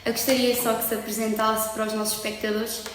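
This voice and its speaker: A young woman introduces her guest and asks questions, her voice fairly high and kept flat. She hesitates often, hunting for words, and the room gives her voice a strong, long echo. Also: fast, a little hiss or hum. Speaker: podcast host, student association member. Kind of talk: podcast interview hosting. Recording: echoey room with long reverb.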